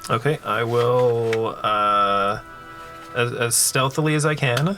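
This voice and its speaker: A man playing a tabletop game, planning his moves aloud in a measured, slightly hesitant casual way. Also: medium pitch, fairly even intonation. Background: music.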